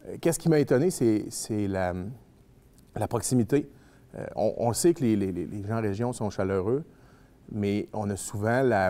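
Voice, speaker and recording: A man's voice: fairly low pitch, creaky, warm tone. A man answering interview questions, hesitant, often repeating words as he searches for them.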